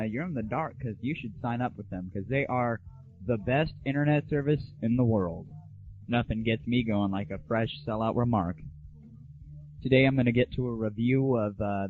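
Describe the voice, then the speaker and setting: A man talking casually and crudely, swearing as he goes. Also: lowish voice. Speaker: podcast host and prank caller. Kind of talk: casual talk, prank phone call. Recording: lo-fi phone-like, roomy radio sound.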